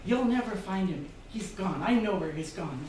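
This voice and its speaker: A woman's voice: mid-range voice, lively intonation, a little mellow, natural voice. A woman playing a delirious, taunting young woman in a stage radio drama, dazed and eerily repetitive. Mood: manic, taunting. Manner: slow, dazed, sing-song repetition. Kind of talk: theatrical radio drama acting. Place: theatre stage, live performance. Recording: echoey, distant stage miking, faint hiss.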